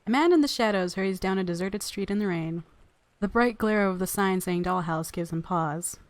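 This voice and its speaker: A woman's voice: mid-range voice, normal speed, no echo. A woman hosting a casual let's play, rambling and self-deprecating, sometimes reading game text aloud. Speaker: gaming video host. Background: soft game music.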